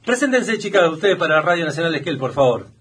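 A man's voice: fairly high pitch, very animated, fast talker, fairly bright tone, slightly rough. A man with an Argentine accent hosting a casual radio interview, asking long rambling questions with restarts. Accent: Argentine Spanish. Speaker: radio host.

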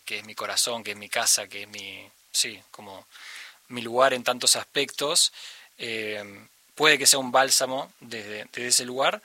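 A man with an Argentine accent, a musician talking thoughtfully in an interview and often pausing to search for the right word. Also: medium pitch, bright, forceful tone, no room sound. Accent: Argentine Spanish. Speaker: musician guest. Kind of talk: reflective conversational interview.

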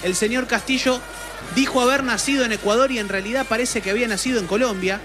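A man with a high voice lays out his match analysis in a measured radio-commentator style. Music plays clearly behind him on the broadcast. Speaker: football commentator.

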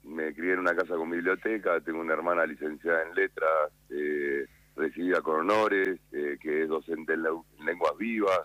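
Deep-voiced Argentine chef on radio. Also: male voice, no room sound, muffled recording. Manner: calm, unhurried, explanatory. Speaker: chef guest. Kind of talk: radio interview by phone.

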